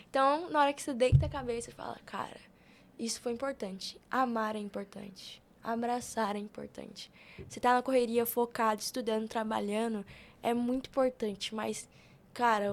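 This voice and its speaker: A young woman with a Brazilian accent chatting informally and telling personal stories on a podcast. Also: on the high side. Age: young adult. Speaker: podcast guest. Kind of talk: casual podcast conversation.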